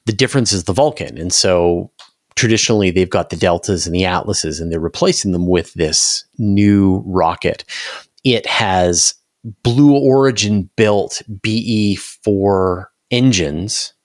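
A man's voice: deep voice, measured pace, no room sound, clean studio sound. A man talking conversationally as an interview guest, explaining things at length and restarting phrases as he reasons aloud. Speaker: interview guest, space news commentator.

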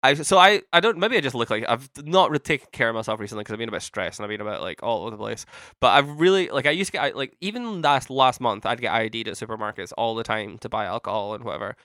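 A man's voice: mid-range voice, animated, quick pace, sharp tone, no room sound, studio quality. A man, co-hosting a casual podcast chat and rambling through restarts and fillers. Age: late 20s. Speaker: podcast co-host.

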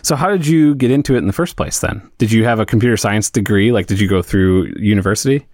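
A man's voice: on the low side, fast, darkish tone, dry recording, studio quality. A man hosting a podcast interview, asking curious, loosely phrased questions full of fillers. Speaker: podcast host.

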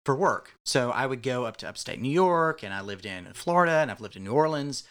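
A man with a Southern US accent talks casually about running live-action games. He rambles, stops himself and rephrases mid-thought. His voice sits at an ordinary mid pitch. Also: animated, a little fast, a bit bright. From Tennessee. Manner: rambling, self-correcting. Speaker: larp game runner. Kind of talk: casual podcast chat.

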